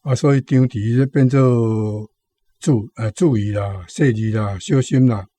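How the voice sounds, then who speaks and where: A man's voice: medium pitch, unhurried, warm tone, no echo. A man with a Taiwanese accent hosting on radio, explaining words conversationally and repeating his points. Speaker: radio show host. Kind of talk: explanatory commentary on Taiwanese vocabulary.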